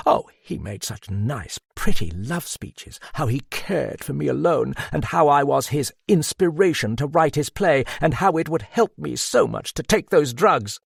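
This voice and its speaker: A woman's voice: fairly low pitch, gravelly, breathy edge, no room sound, clean studio sound, voice pushed up, exaggerated voice. A man voicing a proud, desperate woman who cries out with passion, bitter and near tears, in a read-aloud story.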